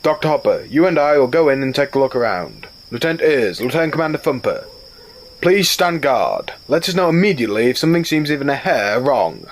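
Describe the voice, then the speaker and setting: An actor playing a calm, commanding rabbit starship captain in an audio drama, giving orders in a formal, steady way. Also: male voice, medium pitch, a little fast, a little hiss or hum.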